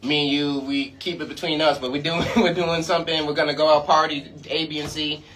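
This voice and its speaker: A man's voice: on the high side, little pitch movement, brisk, a bit bright, rough recording. A man speaking as a guest artist in a casual, conversational radio interview. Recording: clear background noise and ambience.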